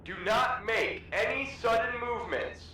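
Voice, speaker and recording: Echoing high, sharp police loudspeaker. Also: male voice, normal speed. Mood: stern commanding. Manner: clipped, authoritative commands. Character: stern commanding male police officer on a loudspeaker. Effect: PA megaphone. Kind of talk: prerecorded police announcements. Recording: echoing, noisy, distant loudspeaker.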